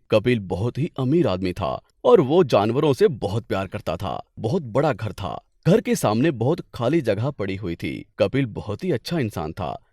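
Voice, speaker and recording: A man tells a scripted comic folk tale. His voice is middle-pitched with a lively, swinging intonation, recorded dry and close. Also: no room sound. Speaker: narrator.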